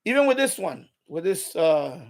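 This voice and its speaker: Higher-pitched gravelly man, emphatic livestreamer. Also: big pitch swings, normal speed. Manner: repeats himself for emphasis; emphatic, opinionated. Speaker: live stream host. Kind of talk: unscripted radio hobby livestream chat.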